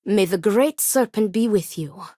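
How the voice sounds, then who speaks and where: Game casting: stern, weary, principled woman, formal diction, very animated pitch, dry close sound. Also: no room sound. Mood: formal, measured. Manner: formal, measured, stern phrasing without contractions. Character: grave, principled woman acting as duel second, devout, weary. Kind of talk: video game character dialogue.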